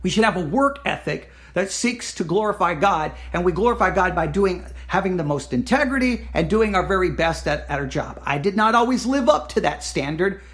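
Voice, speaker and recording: A man hosting a casual solo podcast, hoarse from losing his voice, rambling and correcting himself as he earnestly pleads with listeners. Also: high voice, expressive, brisk, few pauses. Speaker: podcast host.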